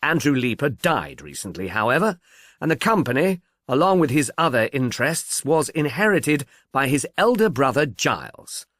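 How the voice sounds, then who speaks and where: A man voicing an irritable, witty professor of English in an audiobook, brisk and dryly emphatic. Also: mid-range voice, very animated, a bit bright, no echo, clean studio sound, voice pushed up. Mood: gloomy, dogged. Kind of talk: audiobook character dialogue.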